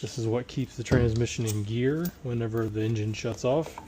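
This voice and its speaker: A man with a hoarse voice, recovering from tonsillitis, casually talking through a transmission teardown as he works. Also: medium pitch, fairly even intonation, moderate pace, very noisy. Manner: plain-spoken, working while talking. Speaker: transmission rebuilder. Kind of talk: casual shop walkthrough.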